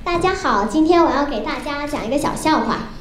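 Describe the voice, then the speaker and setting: A young girl reciting a rehearsed funny story on stage in a careful, expressive storytelling manner. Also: high-pitched, fairly quick. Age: child or young teen. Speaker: student storyteller. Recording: roomy with faint noise.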